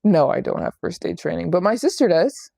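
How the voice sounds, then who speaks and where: A young woman hosts a casual livestream, talking in a low register with a gravelly fry. She is chatty and playful, repeating phrases for emphasis as she answers viewers. The sound is close and dry. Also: expressive, fairly quick, mellow, no echo. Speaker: travel vlogger hosting a livestream. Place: small apartment living room in Japan.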